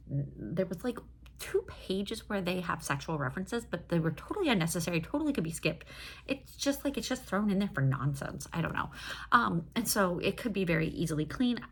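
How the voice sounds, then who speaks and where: Woman, creaky mid-pitched voice, chatty book reviewer, enthusiastic, rambling asides, faint background noise. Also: expressive, a little fast. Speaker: book reviewer and host of a reading channel. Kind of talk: casual book review chat.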